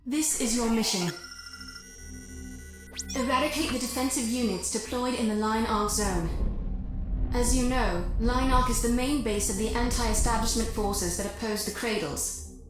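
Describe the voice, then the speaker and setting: A cold, polite actress as a corporate briefer delivers video game mission orders. She is formal and composed, her mid-range voice ringing with heavy echo over loud music. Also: fairly high pitch, moderate pace, lightly acted.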